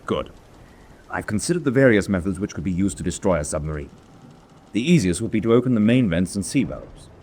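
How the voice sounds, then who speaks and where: Over clearly noisy ambience comes a stern submarine officer in a radio drama. His voice sits fairly low and swings widely in pitch. He is proud and unforgiving, speaking in a clipped, emphatic way. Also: animated, brisk, darkish tone. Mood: brisk, commanding. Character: proud, hard-bitten German U-boat officer, stern and unforgiving. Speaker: actor.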